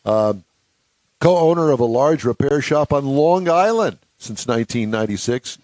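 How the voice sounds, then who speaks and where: A man's voice: mid-range voice, animated, moderate pace, darkish tone, no echo. Folksy man hosting a radio car show. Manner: folksy, joking, stumbles over long lists. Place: radio studio.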